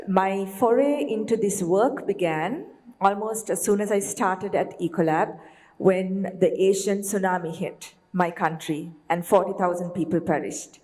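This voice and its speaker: A woman from Sri Lanka addresses a panel audience in a composed, matter-of-fact way. Her voice sits a little low for a woman. The sound rings out in a large reverberant hall. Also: darkish tone. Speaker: nonprofit leader on a panel.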